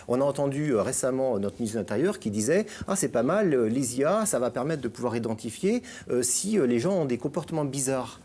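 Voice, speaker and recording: A man's voice: mid-range voice, lively intonation, fairly quick, few pauses, warm tone, breathy edge. A middle-aged man from France, talking as a guest columnist in a radio chat, hesitant with many fillers and restarts. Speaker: guest columnist on digital topics.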